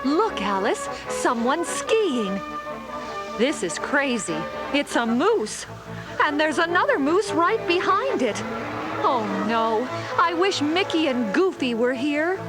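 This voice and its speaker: Anxious, high-voiced storybook mother. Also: very animated, takes it slowly, full character voice. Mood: alarmed. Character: Missus North, anxious kindly lodge owner and mother. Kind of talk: children's read-along storybook acting. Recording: 1980s children's cassette with music bed. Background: music.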